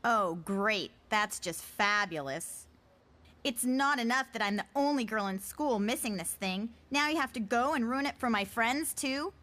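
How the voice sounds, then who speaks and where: A woman playing an excitable, sarcastic teenage girl in a comic drama, with a whiny delivery. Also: on the high side, measured pace. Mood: sulky, sarcastic. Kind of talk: motion comic voice acting. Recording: voice over very loud music.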